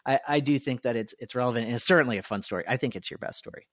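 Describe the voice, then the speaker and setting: A man's voice: medium pitch, rapid-fire, fairly bright tone, dry recording, studio quality. Man hosting a podcast, thinking aloud. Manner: thinking aloud in long, searching sentences. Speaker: podcast host. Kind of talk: casual podcast chat.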